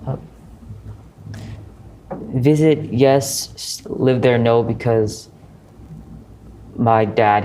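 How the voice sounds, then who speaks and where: A man's voice: on the low side, mellow, slight background noise, low-quality recording. A young man in a casual student panel talk, hesitant and correcting himself often. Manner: hesitant, many fillers and self-corrections.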